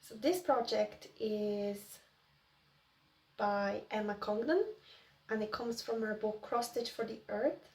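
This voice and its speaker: A woman's voice: mid-range voice, takes it slowly, long pauses, a little mellow, far from the mic. A woman in her early thirties from Poland, chatting casually to viewers as host of a craft vlog.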